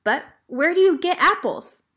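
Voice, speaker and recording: A woman's voice: extremely high pitch, animated, normal speed, a bit bright, pitched above the performer's own voice. A woman voicing a cheerful, folksy, simple-minded male train engineer in a children's storybook, eager and easily puzzled. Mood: curious.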